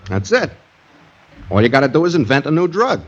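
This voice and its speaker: A male actor plays a flippant American sidekick who mooches food and clowns through 1940s radio comedy. His pitch swings wildly. The vintage recording is dull and thick with noise. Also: very animated, a little fast, close to an everyday voice. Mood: smugly helpful. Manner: clowning, nonchalant. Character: flippant, food-loving freeloading buddy, unbothered and clowning. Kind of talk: 1940s radio sitcom acting.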